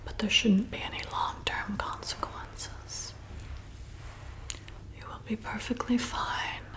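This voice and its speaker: A woman's voice: on the high side, close to an everyday voice. A woman playing a flirty, hypnotic vampire bartender in an ASMR roleplay, soothing and coaxing as she repeats herself. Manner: soothing, coaxing, slow and repetitive.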